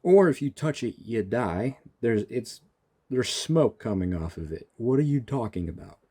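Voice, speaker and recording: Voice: medium pitch, lively intonation, normal speed, mellow, breathy edge. A gamer giving casual reaction commentary on a game story, bantering in a teasing, exasperated way.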